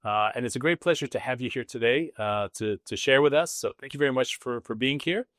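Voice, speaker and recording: A man hosting a live discussion session in a halting, semi-prepared way. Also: mid-range voice, a bit bright, dry recording, studio quality. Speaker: host, business school dean.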